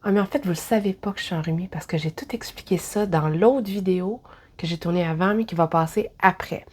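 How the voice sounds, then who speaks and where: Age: adult. Sex: woman. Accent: Québécois French. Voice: congested from a cold, mellow timbre. Style: chatty book vlog. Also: lowish voice, slightly rough. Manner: chatty, self-deprecating, quick asides. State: has a head cold, stuffy voice. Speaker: book vlogger. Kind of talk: casual book review vlog.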